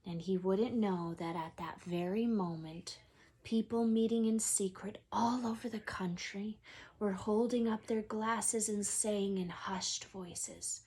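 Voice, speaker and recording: A woman teacher reading a storybook aloud to children, expressively, sometimes dropping into a hush for effect. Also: mid-range voice, slightly rough.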